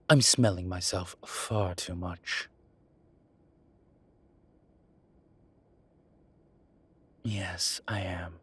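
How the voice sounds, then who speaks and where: A man playing a proud, sardonic incubus demon professor in a roleplay audio drama, with a halting, deliberate delivery. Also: heavily acted. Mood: wry, reassuring. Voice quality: low, husky voice. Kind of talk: roleplay audio drama, speaking to an unseen listener.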